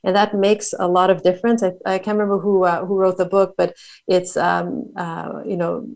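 A woman's voice: fairly low pitch, little pitch movement, gravelly, darkish tone. Enthusiastic talkative woman from Montreal. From Canada (Montreal). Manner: enthusiastic, talkative, quick listing with fillers. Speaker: podcast guest, entrepreneur. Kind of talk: casual interview conversation.

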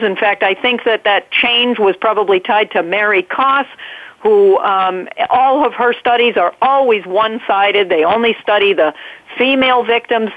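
A woman's voice: a little high, no room sound. A woman with a New England accent, a guest making an earnest, emphatic case on a call-in show, piling up one point after another. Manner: emphatic, fast-flowing, piles up points. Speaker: guest advocate. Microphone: phone. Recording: tinny phone line, dry.

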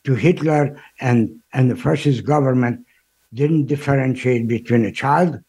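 An older man in his eighties with a Hungarian accent. His voice is husky and mellow, and he talks haltingly, restarting his words as he answers an interviewer. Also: medium pitch. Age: 80s. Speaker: guest, Holocaust survivor. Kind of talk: interview answers, recounting history.

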